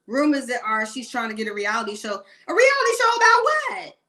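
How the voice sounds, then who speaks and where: A woman's voice: high voice, huge pitch swings, brisk, sharp tone. A woman in her late thirties giving blunt, sassy celebrity gossip commentary in a rambling rant. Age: 30s.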